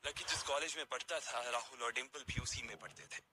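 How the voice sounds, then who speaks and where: A man's voice: mid-range voice, steady tone, a little fast, bright, forceful tone, husky. A man narrating a scripted story as its narrator.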